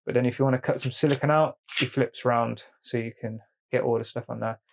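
A man's voice: medium pitch, steady tone, fairly quick, creaky, slight background noise. A man, a plumber casually walking through his tool bag and repeating "really, really nice" as he goes. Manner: chatty, repeats "really, really nice", calls tools "he". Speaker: plumber showing his tools. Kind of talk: casual show-and-tell. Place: indoor tabletop setup, speaker off camera.

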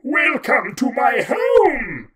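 A man voicing a huge, petulant, tick-like male Dark God who acts like an internet troll, loud, whiny and theatrical. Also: extremely high pitch, wildly swooping pitch, extremely slow, voice pushed up, full character voice. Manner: loud, whiny, theatrical, touchy about his hair. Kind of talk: storytelling with voiced characters.

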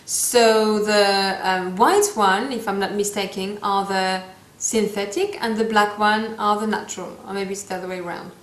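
A woman's voice: mid-range voice, fairly even intonation, moderate pace, reverberant. A woman in her thirties with a French accent, giving a casual makeup tutorial to camera, with playful asides and searches for English words. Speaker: makeup vlogger. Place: small room indoors, seated.

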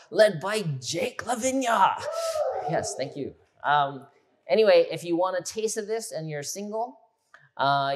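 A man in his thirties, a pastor giving a conversational, animated sermon in a church hall on a lapel mic. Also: a little high. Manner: animated, gesturing, conversational teaching.

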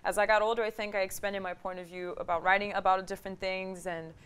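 A woman's voice: medium pitch, little pitch movement, fast, a bit bright, clear, resonant tone. Chatty young woman guest from Brazil. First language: Portuguese. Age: 20s. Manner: chatty, reflective, lots of "like" fillers. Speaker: guest singer-songwriter. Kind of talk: talk show interview. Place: TV studio. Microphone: lapel mic.

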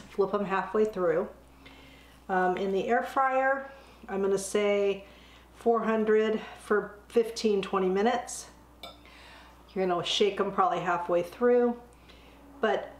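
A middle-aged woman hosting a home cooking channel, a bit hoarse from a cold, explaining a recipe step by step in a friendly, chatty way. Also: medium pitch, reverberant. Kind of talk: casual cooking demonstration. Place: home kitchen, indoors.

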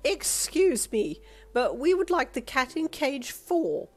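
A woman's voice: high voice, sing-song, takes it slowly, faint music behind, pitched above the performer's own voice, full character voice. A woman voicing a haughty, sneering, entitled rich customer in an audio storybook, with a condescending, imperious manner. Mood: demanding.

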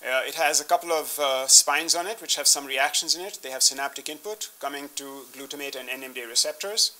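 A man's voice: mid-range voice, fairly quick, bright, forceful tone. A man in his fifties explaining slides in a scientific talk as a keynote speaker, often restarting his phrases. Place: indoor conference room, standing at a podium with laptop.

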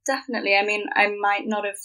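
A woman's voice: medium pitch, steady tone, fast talker, sharp tone. A woman from Germany speaking as a podcast guest in a thoughtful, earnest, conversational way. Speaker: podcast guest, filmmaker and actor.

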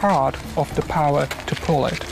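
A man's voice: fairly high pitch, moderate pace, creaky. A man speaking as an expert interviewee, explaining how a machine works in a documentary.

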